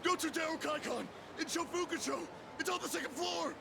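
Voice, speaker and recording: A man's voice: very high voice, brisk, creaky, background noise. An actor playing a brash, hot-headed male yakuza henchman in a video game, strained and belligerent. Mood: panicked. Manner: belligerent, strained, foul-mouthed. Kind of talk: dramatic video game voice acting. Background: music.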